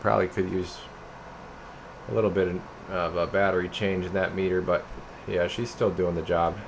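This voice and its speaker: A man's voice: low-pitched, flat delivery, normal speed, vocal fry, noisy background. A man giving a casual hands-on product demo in an informal, rambling way, talking to viewers as guys. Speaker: radio repairer and product reviewer.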